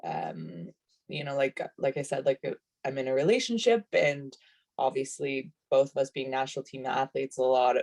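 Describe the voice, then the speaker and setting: A woman's voice: extremely low pitch, animated, normal speed, creaky, a little mellow. A woman in her twenties from Canada, a rugby player on a video call panel, talking casually with lots of fillers and restarts. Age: 20s. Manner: chatty, many fillers and self-corrections.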